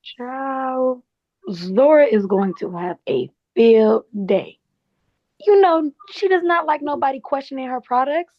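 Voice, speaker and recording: A woman's voice: sits high, lively intonation, slow pace, no echo, heavily acted. Woman playing a straight-talking protective work friend, sassy, dry kinda dull audio, table read. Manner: colloquial, frank. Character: candid, protective, sassy woman office colleague. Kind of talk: naturalistic table read acting.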